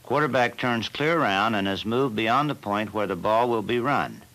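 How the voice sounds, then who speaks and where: Coach guy walking through ball handling drills, kinda low voice, calm even delivery. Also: poor audio. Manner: measured, plain instructional delivery. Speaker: football coach. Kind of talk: instructional film narration.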